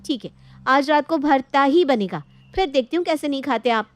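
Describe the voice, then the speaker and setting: A woman's voice: sits high, expressive, normal speed, no room sound, voice pushed up. A woman voicing a young, dutiful daughter-in-law in a family story, plaintive and exasperated. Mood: annoyed.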